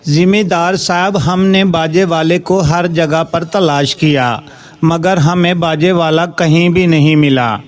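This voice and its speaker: A man's voice: on the high side, fairly even intonation, slow pace. A man voicing a dutiful village servant and crier in a children's cartoon story. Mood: deferential, reporting.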